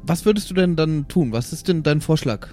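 Mellow-voiced man playing a tabletop game. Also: on the high side, expressive, brisk, faint music behind. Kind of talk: casual improvised table talk.

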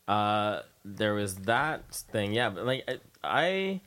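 An American man with a fairly low voice hosts a casual radio interview. His questions come out loose and informal, with joking asides mixed in. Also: big pitch swings, takes it slowly, a bit bright. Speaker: radio show host, interviewer.

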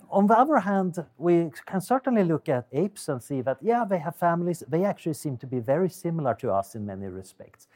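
Swedish man, lively wry explainer. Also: on the high side, moderate pace. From Sweden. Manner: wry, musing, with frequent hesitations. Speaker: guest speaker, neuroscientist and ethicist. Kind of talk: explanatory talk.

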